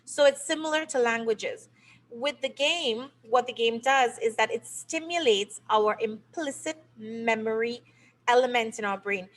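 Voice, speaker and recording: A middle-aged woman from Trinidad and Tobago answers interview questions. She explains her ideas patiently, the way a teacher would. Her voice sits a touch higher than usual. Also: a little slow. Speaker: game creator and teacher.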